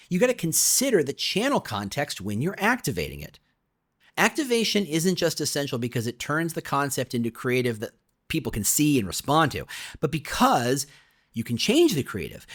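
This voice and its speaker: A man narrates a scripted explainer in a slightly high voice with lively, swinging intonation. He sounds conversational and emphatic, talking straight to the listener and leaning into rhetorical questions. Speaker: author reading own business audiobook.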